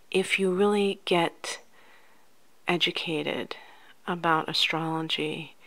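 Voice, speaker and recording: Soft-spoken woman for ASMR coach roleplay; lowish pitch, very slow, gentle and soothing delivery. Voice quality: soft, often near-whispered. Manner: gentle, unhurried, soothing, addressing the listener directly. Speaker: ASMR host playing a life coach.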